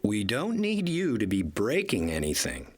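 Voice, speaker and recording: A man reads as a stern working engine in a children's storybook. He is bossy and dismissive, with a husky tone and varied, scolding intonation. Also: on the low side, huge pitch swings, moderate pace, a little mellow. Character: gruff, dismissive working engine guarding fragile cargo.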